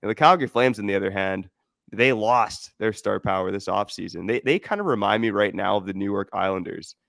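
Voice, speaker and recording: Low gravelly man talking stats. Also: fairly bright tone, no echo, studio quality. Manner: measured, stat-heavy, hedging with "you know". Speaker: podcast host. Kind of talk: casual sports analysis.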